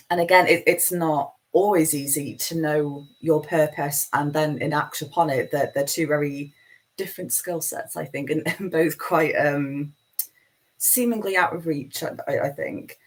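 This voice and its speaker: A woman with a broad Manchester accent and a low, deep-set voice hosts a live spiritual talk. She meanders through her points in a chatty, self-deprecating way. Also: unhurried, rough recording. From Manchester, England. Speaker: spiritual teacher hosting a live session.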